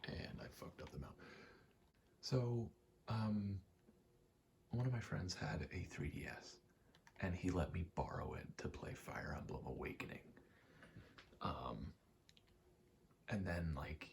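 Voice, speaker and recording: A man in his twenties doing a casual ASMR gaming ramble, soft-spoken and often whispering, in a halting, meandering way. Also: low-pitched, gravelly. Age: 20s. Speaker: ASMR gaming video host. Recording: faint noise, game button clicks. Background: controller clicking, faint background noise.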